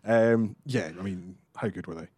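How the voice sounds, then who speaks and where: A man hosting a casual sports podcast, brisk and upbeat. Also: deep voice, a little mellow, slightly rough, no echo. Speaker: podcast host.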